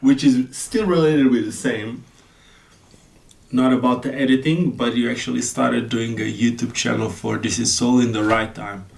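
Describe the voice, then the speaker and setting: A man hosting a casual podcast chat in a skate shop, relaxed and chatty, laughing often. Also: medium pitch, normal speed, gravelly. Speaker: skate vlogger and podcast host. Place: skate shop, sound not the best.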